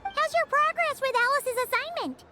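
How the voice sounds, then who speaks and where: A woman voicing a small, chirpy, bossy childlike girl companion in a video game, squeaky and eager. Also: normal speed, loud music behind the voice. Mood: cheerful, eager.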